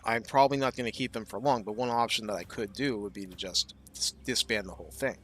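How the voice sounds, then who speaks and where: A man giving casual gameplay commentary, explaining his strategy thoughtfully and often pausing with fillers. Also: on the low side, a bit bright, a little hiss or hum.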